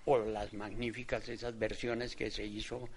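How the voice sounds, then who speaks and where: An older man giving reflective interview commentary in halting, measured phrases. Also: lowish voice, little pitch movement. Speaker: interviewee commenting on theatre history.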